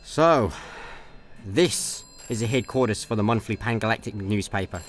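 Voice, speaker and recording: A man's voice: on the low side, moderate pace, a little hiss or hum, natural voice. A Scottish man playing a bumbling, chatty space layabout in a comedic radio play, rambling and exasperated. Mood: clueless, puzzled.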